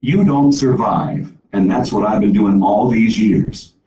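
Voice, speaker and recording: Storybook role: elderly woman, homespun and plain-spoken, warmly chatty, performed by a man, distant and echoey. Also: on the low side, a little slow, dull audio, background noise, lightly acted. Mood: wise, kindly. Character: plain-spoken, warm, elderly woman welcoming holiday guests.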